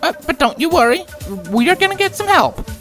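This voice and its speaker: Woman playing an excitable, folksy little filly, high-pitched. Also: fairly quick, soft background music, exaggerated voice. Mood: nervous reassurance. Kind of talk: dramatized story reading.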